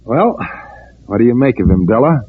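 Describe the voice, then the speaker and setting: A man's voice: mid-range voice, lively intonation, normal speed, a little hiss or hum, close to an everyday voice. Man playing a chummy agitator, tinny old radio. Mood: sly, pleased. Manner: chummy, colloquial, emphatic. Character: scheming, outspoken agitator posing as friendly citizen. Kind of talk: old-time radio drama acting. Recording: tinny, narrow-band vintage radio recording.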